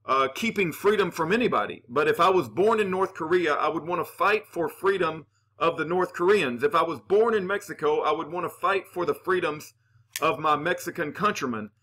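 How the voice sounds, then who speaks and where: A man hosting his channel, giving opinionated commentary with Bible references in an earnest, halting way full of "uh" fillers and restarts. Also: fairly high pitch, sharp tone. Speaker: channel host reading and answering viewer comments.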